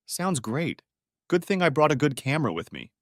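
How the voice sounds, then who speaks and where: A man's voice: fairly high pitch, big pitch swings, normal speed, dry recording, clean studio sound, voice pushed up. A man voicing a polite, understated, neglected husband in a narrated story. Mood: friendly. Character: quiet, neglected married man on holiday.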